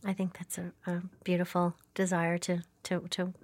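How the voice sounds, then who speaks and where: A woman's voice: fairly low pitch, completely flat delivery, normal speed. A woman hosting a podcast interview, encouraging and chatty, with frequent fillers. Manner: warm-voiced, encouraging, with frequent fillers and laughs. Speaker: podcast host. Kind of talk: casual interview chat.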